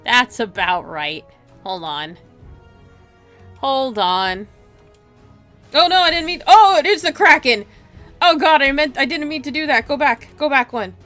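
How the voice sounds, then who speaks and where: A woman hosting a game livestream, chatting casually and reading story narration aloud in an animated, giggly way. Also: sits high, a little slow, pauses often, soft background music. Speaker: livestream host playing a visual novel. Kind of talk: casual stream chat and dramatic read-aloud.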